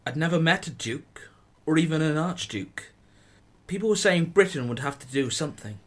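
Man playing an earnest, higher-voiced teenage lad. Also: expressive, normal speed, fairly bright tone. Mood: earnest, naive. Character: reluctant sixteen-to-eighteen-year-old Welsh farm lad, earnest, innocent. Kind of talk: amateur radio play acting and narration.

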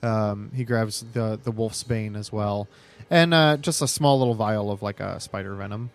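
A man running a tabletop game as game master, improvising narration in a halting, informal way. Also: on the low side.

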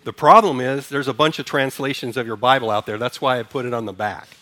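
A man's voice: medium pitch, quick pace, sharp tone. Man preaching a conversational sermon. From Sudan. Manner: conversational, asks the congregation questions; leads a responsive reading line by line. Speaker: pastor.

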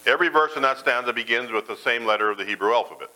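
A man's voice: mid-range voice, very fast, sharp tone, rough recording. A man preaching a sermon in a church, folksy and self-deprecating as he mixes jokes with scripture. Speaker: pastor.

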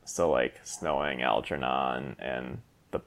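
A man in his late twenties talks in an easygoing, reflective way, his voice sitting very low. He keeps a relaxed, conversational pace. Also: slow pace, vocal fry. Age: 20s. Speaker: caller. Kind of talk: casual call-in chat.

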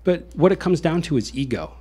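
A man's voice: a little high, sing-song, fairly quick, dark tone, breathy edge. A man with an American accent hosting a conversational interview, speaking earnestly in a rambling way with repeated phrases. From Iowa, United States. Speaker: show host. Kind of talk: conversational interview with personal reflection.

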